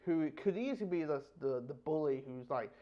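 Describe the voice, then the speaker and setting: A man giving a casual, rambling review, with halting delivery, frequent false starts and repeated words. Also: on the high side, lively intonation. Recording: dull lo-fi microphone sound.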